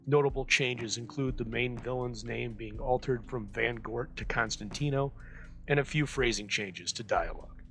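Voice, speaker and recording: A man's voice: mid-range voice, moderate pace, a bit bright. A man hosting an old-time radio presentation, reading a scripted introduction. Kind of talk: scripted narration introducing a vintage radio show.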